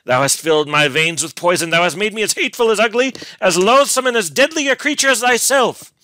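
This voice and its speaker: A man's voice: high-pitched, expressive, brisk, sharp tone, no echo, voice pushed up. A man voicing an enraged, scornful young lover in a gothic storybook, with archaic, declamatory, venomous speech. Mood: venomous rage. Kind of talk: dramatic gothic storybook reading.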